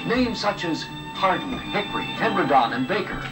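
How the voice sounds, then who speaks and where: A man's voice: sits high, lively intonation, moderate pace, over loud music. A man reading a scripted television commercial as an announcer. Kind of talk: scripted TV ad read. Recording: old distant TV ad videotape.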